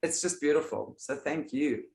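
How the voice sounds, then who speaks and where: A woman with a deep voice hosting a casual, heartfelt chat, gushing and appreciative in her words. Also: moderate pace, darkish tone, reverberant, a little hiss or hum. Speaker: host.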